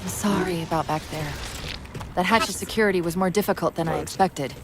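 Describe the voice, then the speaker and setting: An actress voicing a calm, precise female AI companion in a video game cutscene. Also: on the low side, fairly quick, natural voice. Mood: remorseful. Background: music.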